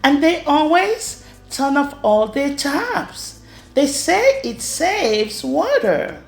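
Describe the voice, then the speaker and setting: A woman reading a rhyming children's storybook aloud in an expressive way. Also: high voice, takes it slowly, vocal fry. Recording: roomy echo with music underneath. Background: music.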